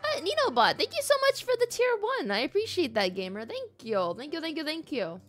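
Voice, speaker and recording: A young woman chats playfully as she streams a game. Her voice is on the high side and very animated, with words repeated for effect. Faint game music runs underneath. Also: fairly quick. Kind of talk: casual live gaming commentary.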